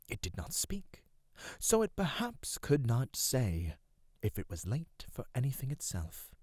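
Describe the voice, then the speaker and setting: A man giving a measured, theatrical and formal storybook narration for an ASMR roleplay. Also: fairly low pitch, no echo, clean studio sound.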